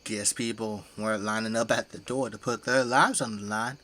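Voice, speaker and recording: Folksy, bright-voiced flamethrower-toting dad. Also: male voice, fairly low pitch, fairly even intonation, normal speed. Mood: matter-of-fact. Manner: folksy, colloquial, easygoing bragging. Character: folksy, boastful middle-aged zombie-fighting flamethrower operator, tipsy father. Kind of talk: audio drama acting.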